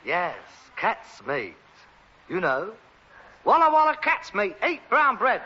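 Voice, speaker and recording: An actor playing an exasperated, sarcastic adult son with mock-refined airs and a London Cockney accent in a vintage British sitcom. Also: male voice, high voice, wildly swooping pitch, takes it slowly, full character voice. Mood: gleeful taunting. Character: exasperated, sarcastic adult son with aspirations, rag and bone man. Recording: dull, noisy vintage broadcast recording.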